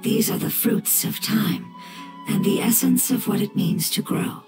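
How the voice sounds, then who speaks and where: A woman's voice: fairly high pitch, mellow, close to an everyday voice. Woman as a wise, echoing elemental being. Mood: calm. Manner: measured, formal. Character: calm, wise non-human elemental being. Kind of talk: video game character dialogue. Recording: echoing, game music behind.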